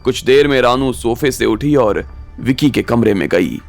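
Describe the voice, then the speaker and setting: A man's voice: mid-range voice, lively intonation, a little slow, vocal fry. A man narrating a scripted audio story as its storyteller. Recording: music bed behind the voice.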